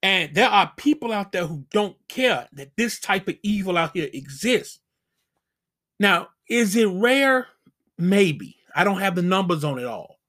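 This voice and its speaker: A man's voice: high voice, sing-song, a little slow, a bit bright. A middle-aged man hosting a channel, giving casual dating advice as an emphatic, preachy warning full of slang.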